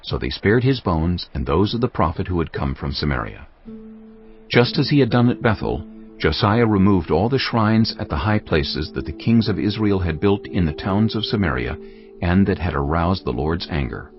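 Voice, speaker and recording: A man narrating scripture in a dramatized audio Bible with grave, expressive delivery. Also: low voice, moderate pace. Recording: dull lo-fi with music underneath.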